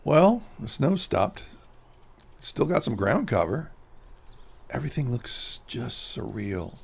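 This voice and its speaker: A man playing a haunted, guilty con man in a horror radio drama, muttering dramatically to himself in a gravelly, husky voice. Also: mid-range voice, sing-song, takes it slowly, exaggerated voice. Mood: quiet contentment.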